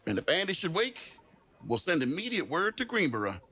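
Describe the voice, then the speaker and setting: Bright, high-voiced pompous mayor. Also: male voice, sing-song, fairly quick, slight background noise. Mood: satisfied. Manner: pompous, formal, oratorical. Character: pompous, grateful small-town mayor, grandly formal. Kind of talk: audio drama acting.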